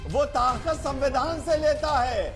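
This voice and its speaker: A man's voice: very high voice, a little slow. A man speaking as an advocate on a televised news debate panel, arguing in an emphatic, combative way. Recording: TV broadcast with music behind.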